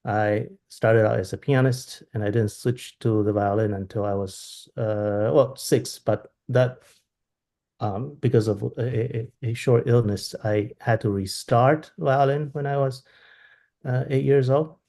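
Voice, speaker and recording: A man in his thirties from Taiwan, answering interview questions over a video call in a thoughtful way, often pausing and restarting as he looks for words. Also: mid-range voice, fairly even intonation, mellow. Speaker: violinist guest. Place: home room with plain wall. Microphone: laptop webcam on video call.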